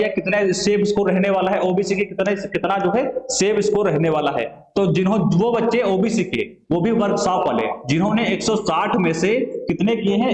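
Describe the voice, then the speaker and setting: A man's voice: sits high, darkish tone. A man giving an explanatory exam-prep lesson to students as a coaching teacher, repeating phrases and answering his own questions. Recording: echoey room.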